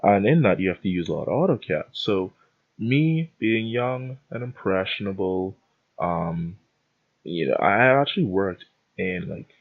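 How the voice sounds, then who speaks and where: A man's voice: sits low, animated, measured pace, phone-quality sound. A young man from the Bahamas hosting a podcast, telling a rambling, self-interrupting story in a casual rant. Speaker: podcast host.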